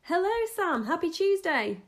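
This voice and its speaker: A woman's voice: very high voice, sing-song, unhurried, soft background music. Upbeat woman hosting a podcast. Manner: upbeat, chatty. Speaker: podcast host. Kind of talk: casual video-call interview. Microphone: video call.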